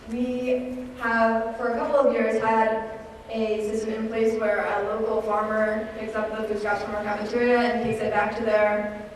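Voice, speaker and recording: A teenage girl giving a school presentation, hesitant and pausing with frequent ums. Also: on the high side. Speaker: student presenter. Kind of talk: presentation to a board. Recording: echoey hall from far off, faint hiss.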